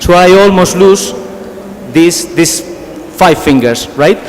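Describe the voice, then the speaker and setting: A man's voice: sits high, lively intonation, a little hiss or hum. Spanish-accented man lecturing in a hall. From Spain. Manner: halting, repeats words, asks the audience questions. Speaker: conference speaker, physician. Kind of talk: conference presentation. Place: large conference hall. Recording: hall reverb, faint background ambience.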